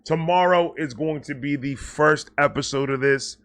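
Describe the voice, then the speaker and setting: A man talking casually and off the cuff to his viewers, excitable and rambling, often repeating and stammering over words, with slangy, sweary phrasing. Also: a little high, normal speed. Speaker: online video creator and host. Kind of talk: casual unscripted vlog talk to viewers.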